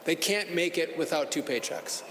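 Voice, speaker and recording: A man's voice: a little high, animated, moderate pace, a bit bright. A man speaking as an official, making a public statement. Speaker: official speaking at a press event. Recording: echoey hall, long reverb, faint noise.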